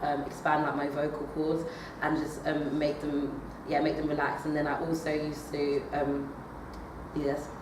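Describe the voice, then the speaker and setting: A woman's voice: low voice, completely flat delivery, measured pace. A young woman, a drama student, answering interview questions hesitantly with many fillers and restarts, recorded from a distance in an echoey room. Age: young adult. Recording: echoey room, far from the mic, noisy.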